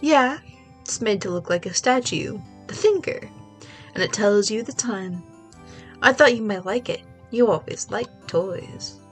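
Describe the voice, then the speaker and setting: A woman with a stuffy voice voicing a calm, measured older sister who is a lawyer, gently teasing in a video game read-along. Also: mid-range voice, soft background music, close to an everyday voice.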